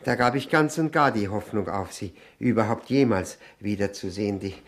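An actor playing a nervous, self-abasing widower who drinks, stammering and repeating himself in an old radio play. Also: male voice, fairly low pitch, moderate pace. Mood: sheepish, faltering. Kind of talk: 1960 German radio play acting. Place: radio drama studio.

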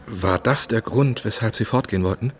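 A man's voice: on the low side, normal speed, a little hiss or hum, close to an everyday voice. A man playing an inquisitive writer who narrates and asks probing questions in a German radio drama. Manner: probing, attentive.

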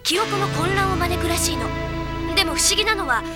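A woman's voice: extremely high pitch, expressive, brisk. An actress playing a cute, girlish young tech whiz in a Japanese anime audio drama, chattily explaining in a matter-of-fact way. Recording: loud background music.

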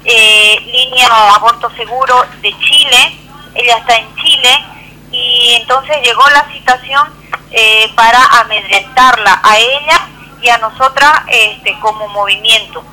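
A woman speaks over a telephone with some noise on the line. Her voice is fairly high and very bright and forceful. She explains things earnestly in formal wording, like an activist giving a statement. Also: measured pace. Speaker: activist guest phoning in. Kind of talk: spoken statement in a phone interview. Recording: noisy, harsh telephone call.

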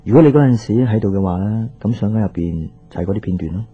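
An actor with a Hong Kong Cantonese accent playing an easygoing, teasing young working boyfriend in a radio drama. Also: male voice, on the low side, quick pace, narrow, tinny audio, slight background noise, natural voice. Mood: casual, patient. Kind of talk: naturalistic radio drama dialogue.

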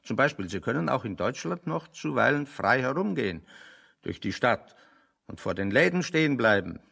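An actor playing a dry, opinionated man in a German radio play, reasoning with deadpan, sardonic deliberation. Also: mid-range voice, moderate pace, vocal fry, heavily acted.

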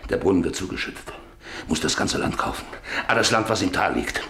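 An actor playing a bitter, haunted old rancher in a German radio play, brooding haltingly between whispers and outcries. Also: male voice, deep voice, lively intonation, a bit bright. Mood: feverish excitement. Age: older.

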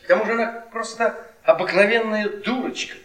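An actor playing a bitter middle-aged husband who tells cruel, witty stories with theatrical mockery in a Russian radio drama. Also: male voice, sits high, a little fast, a bit bright. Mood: cruel, taunting. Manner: theatrical, sardonic, taunting. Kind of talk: Russian stage-style radio drama acting. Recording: echoey theatre, distant mic, audience noise.

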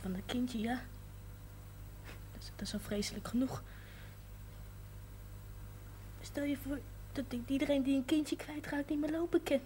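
An actress playing a bedridden, sharp-tongued sick young woman in a naturalistic television drama. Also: high-pitched, fairly even intonation, moderate pace, close to an everyday voice. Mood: subdued, brooding. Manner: blunt, swearing, emotional. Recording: noisy old television broadcast audio.

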